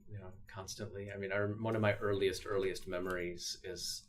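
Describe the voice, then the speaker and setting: An American man in his late thirties talking reflectively in a documentary interview, halting and repeating himself as he thinks. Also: low-pitched, little pitch movement, a little hiss or hum. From upstate New York, USA. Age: late 30s. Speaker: interviewee, pianist. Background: piano music.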